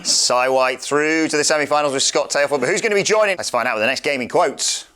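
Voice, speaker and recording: A man's voice: a little high, fast talker, pushed and bright, smooth. A man hosting a quiz in a theatrical, showman-like game show style. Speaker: quiz host.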